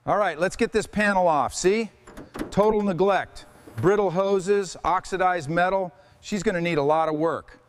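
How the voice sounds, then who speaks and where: A man's voice: sits high, moderate pace, noisy background. A man giving hands-on workshop commentary as a vehicle restorer, in short instructional remarks to helpers.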